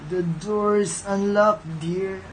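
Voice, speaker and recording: A woman's voice: medium pitch, fairly even intonation, slow pace, low-quality recording. A woman playing a big bad wolf who pretends to be a sweet old granny, coaxing in a storybook radio drama. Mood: sly, coaxing. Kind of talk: amateur radio drama acting.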